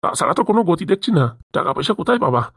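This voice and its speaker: A man's voice: high voice, very animated, rapid-fire, fairly bright tone, slightly rough, no echo, clean studio sound, heavily acted. A man voicing a pushy, scheming father-in-law in a comic cartoon, badgering with questions. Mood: persuading. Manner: badgering, nagging with rhetorical questions. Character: pushy, scheming father-in-law pressing his son-in-law for money.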